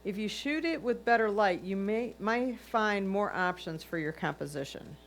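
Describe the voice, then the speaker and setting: A woman's voice: lively intonation, normal speed. A woman reading a judge's written comments aloud as a critique. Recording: noisy line with low hum.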